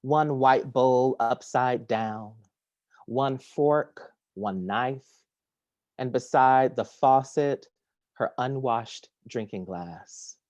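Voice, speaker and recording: Slow-paced male poet in a dry room. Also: medium pitch, a little mellow, no echo, muffled recording. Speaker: poet giving a reading. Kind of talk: poetry reading with casual introduction. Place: at home on a remote video reading.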